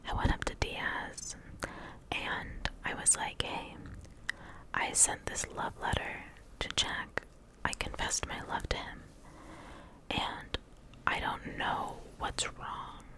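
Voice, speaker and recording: A woman's voice: slow, long pauses, rough recording. A young woman whose first language was Portuguese, telling a rambling, self-mocking story in a close-miked ASMR whisper. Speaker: ASMR creator.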